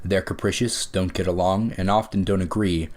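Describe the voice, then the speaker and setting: A man's voice: sits low, steady tone, moderate pace, a little mellow, lightly acted. A man as storybook narrator, reading scripted fantasy narration about a pantheon of gods. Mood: expository.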